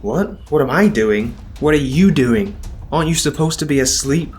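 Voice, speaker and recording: A man voicing a menacing, controlling husband who talks in a low, cool tone. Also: lively intonation, normal speed, a little mellow, natural voice. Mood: defensive. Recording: faint music underneath.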